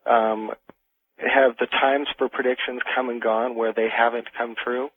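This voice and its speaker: A man's voice: mid-range voice, level tone, unhurried, husky. A man chatting casually as a caller to a radio show. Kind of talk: casual chat. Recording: thin telephone line on radio.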